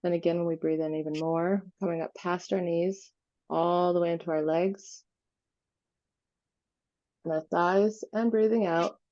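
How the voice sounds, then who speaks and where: A woman leading an unscripted guided visualization meditation, giving gentle instructions with pauses. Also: lowish voice, fairly even intonation, dull audio.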